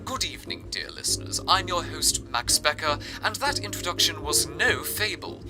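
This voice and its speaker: A man playing a grandiose, genteel radio drama host who speaks in florid, deliberate phrases, like an old-time broadcast monologue. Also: a little high, animated, over music, lightly acted. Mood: genial, showmanlike. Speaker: fictional radio show host.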